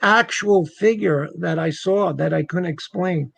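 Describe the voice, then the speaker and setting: Male guest, casual storyteller, notably high and husky voice, dry close audio. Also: measured pace, a bit bright, no echo. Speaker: guest on a supernatural talk show. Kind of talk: casual anecdote chat.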